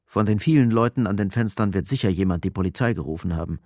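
A man's voice: sits low, fast, darkish tone, no echo. A man narrating a radio drama soberly, restrained and matter-of-fact. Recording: roomless dry studio, faint music behind. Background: faint music.

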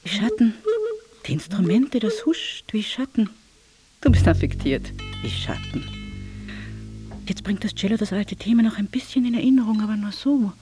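A woman's voice: mid-range voice, very animated, moderate pace, long pauses, warm tone, lightly acted. Woman acting a sad lonely young lady brooding to herself in a radio play, instruments playing behind. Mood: melancholy, yearning. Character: lonely, yearning, melancholy young woman at a concert. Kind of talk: radio play inner monologue. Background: instrumental music.

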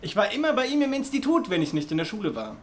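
A man's voice: high voice, huge pitch swings, fast, a little hiss or hum. A man playing an earnest, eager schoolboy son in a radio play, explaining things in a matter-of-fact way. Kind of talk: German radio play acting.

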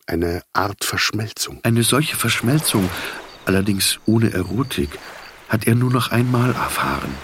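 A man reading literary fiction as an audiobook narrator, in a measured, reflective manner. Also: sits low, fairly bright tone.

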